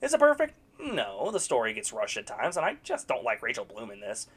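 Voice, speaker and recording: Brief: blunt, sarcastic movie reviewer, pitch swooping all over, casual swearing. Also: wildly swooping pitch, brisk, a bit bright, husky.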